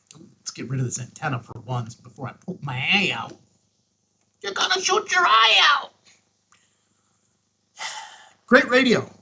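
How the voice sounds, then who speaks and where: A man's voice: high voice, vocal fry, pushed and bright, breathy edge. A man hosting a casual hands-on product review, talking in a folksy, emphatic way with short punchy phrases. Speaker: host of a ham radio review show.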